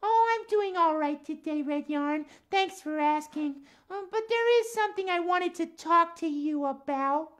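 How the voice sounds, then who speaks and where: A man voicing an earnest, principled female cat puppet for a children's show, with a halting, repetitive way of talking. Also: very high voice, animated, fairly bright tone, pitched above the performer's own voice, full character voice.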